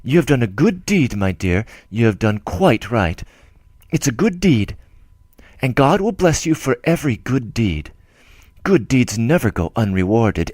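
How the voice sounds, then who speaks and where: A humble, timid old clerk writes tenderly, rambling and breaking off mid-thought, as a male audiobook reader gives him voice. His delivery swings widely in pitch. The recording is dry and close. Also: darkish tone, no room sound. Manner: rambling, self-interrupting, tender. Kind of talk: audiobook letter reading.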